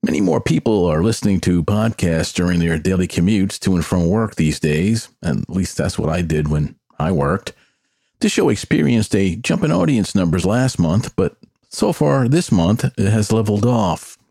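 A man's voice: sits low, lively intonation, moderate pace, vocal fry, mellow, breathy edge, no room sound, clean studio sound. An older man hosting a solo podcast, giving personal, opinionated commentary in a conversational way. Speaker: podcast host.

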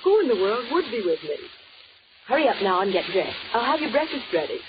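An American actress playing a devoted, affectionate sweetheart in a 1940s radio horror drama. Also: female voice, on the high side, big pitch swings, brisk. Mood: bright, doting. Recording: dull, noisy 1940s radio recording.

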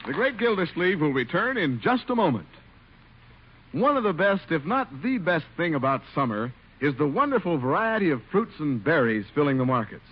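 Male vintage radio pitchman; polished, upbeat, high-pitched and expressive, muffled broadcast sound. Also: normal speed, husky. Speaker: announcer. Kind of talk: 1940s-50s radio advertising read.

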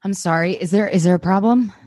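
Very low-voiced exasperated young woman read by an actress. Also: quick pace, mellow, dry recording, clean studio sound, lightly acted. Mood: apologetic. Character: exasperated thirty-year-old girlfriend, curt and sarcastic. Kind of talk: dramatic table read of TV pilot.

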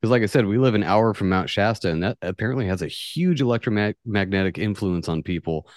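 A man's voice: lowish voice, expressive, fairly quick, darkish tone, dry recording, studio quality. A man from the United States hosting a podcast in a casual, blunt, joking and sweary way. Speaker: podcast host.

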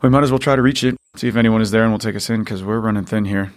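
A man's voice: lowish voice, fairly even intonation, racing, natural voice. An actor playing a weary, protective father in an audio drama, talking in a dry, tired and blunt way.

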